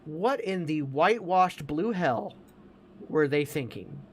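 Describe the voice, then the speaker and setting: A man's voice: on the high side, expressive, slow, slight background noise. A man chatting casually about movies as a podcast co-host, emphatic, opinionated and given to swearing.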